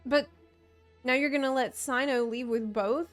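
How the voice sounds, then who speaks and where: A woman's voice: high voice, fairly even intonation, normal speed. A woman game streamer giving live commentary, thinking aloud and reacting to what happens, with game music behind her.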